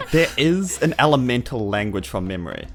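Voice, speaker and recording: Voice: medium pitch, big pitch swings, normal speed. A tabletop game player, bantering casually in character and out. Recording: faint music bed behind speech.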